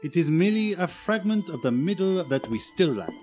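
Belgian French-accented detective, formal, shrewd, 1940s radio play with clear music behind. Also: male voice, on the high side, big pitch swings, brisk, darkish tone. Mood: assured. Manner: formal, theatrical, precise phrasing. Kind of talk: 1940s American radio drama acting.

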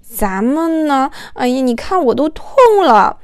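A woman's voice: high voice, animated, unhurried, no echo, clean studio sound. A woman voicing a feisty young actress wife who exclaims and pleads with her husband in an audiobook. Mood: coy, coaxing.

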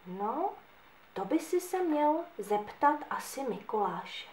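A woman's voice: a little high, sing-song, a little mellow, recorded from a distance. Woman doing a curt scolding mother. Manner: disapproving, curt. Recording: echoey room.

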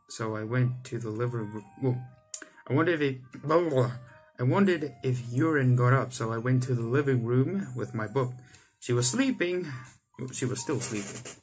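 Young adult male gaming host, mid-pitched, casually reading story text aloud with off-the-cuff remarks, music underneath. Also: moderate pace. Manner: stumbles over text and rereads lines. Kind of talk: reading visual novel aloud with casual commentary. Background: game music.

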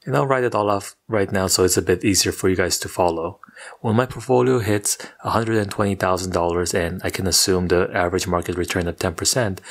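A young man with a very low voice talks through his money plans in a flat, even monotone. He sounds scripted and steady, recorded at home on a microphone off camera. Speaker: personal finance YouTuber. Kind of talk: scripted video narration. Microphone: studio microphone off camera.

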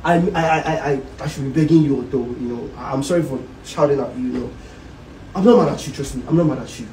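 A man's voice: medium pitch, quick pace, darkish tone, recorded from a distance, a little hiss or hum, close to an everyday voice, low-quality recording. A man with a Nigerian accent playing a desperate husband in a relationship drama, pleading insistently and repeating himself. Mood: soft, apologetic. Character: pleading, desperate husband begging his wife to stay.

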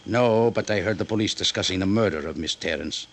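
A man playing a courtly, haughty, vengeful Mexican gentleman with a Spanish accent, formal and disdainful, in 1950s radio drama style. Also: sits low, a little fast. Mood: cool disdain. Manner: formal, elaborate, coolly disdainful. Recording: noisy vintage radio recording.

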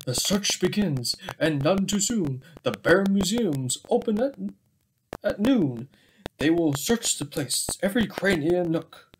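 A man's voice: high-pitched, animated, a little slow. Young man reading a storybook, widely varied intonation. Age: young adult. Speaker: storybook reader. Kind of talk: children's story read-aloud. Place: small indoor room with bookshelves. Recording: clearly noisy home webcam recording.

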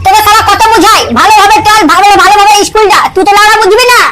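Man as a shrill, foul-mouthed cartoon husband. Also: steady tone, rapid-fire. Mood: exasperated. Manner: blunt, scolding, swearing. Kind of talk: comic cartoon dubbing.

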